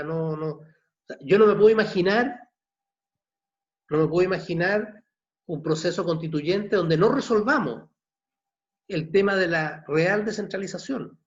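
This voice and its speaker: A man in his sixties from Chile with a Chilean accent, a novelist answering questions at an online book launch, halting and repeating words. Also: high voice, gravelly. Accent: Chilean Spanish. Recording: dull muffled video call audio.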